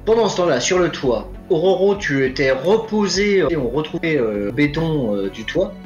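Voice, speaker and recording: A man narrating as game master, with frequent fillers and pauses. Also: a little high, lively intonation, lo-fi sound, soft background music. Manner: hesitant, many fillers.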